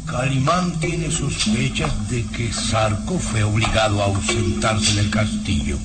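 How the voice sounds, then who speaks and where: A man playing a sinister, scheming baron, speaking gravely and secretively with command in an old radio serial. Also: on the low side, takes it slowly, over loud music. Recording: distant, very noisy old radio recording.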